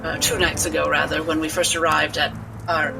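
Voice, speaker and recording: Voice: mid-range voice, little pitch movement, brisk, vocal fry, music in the background, rough recording. A player speaking in character in a formal, articulate, lecturing way. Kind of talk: in-character tabletop play.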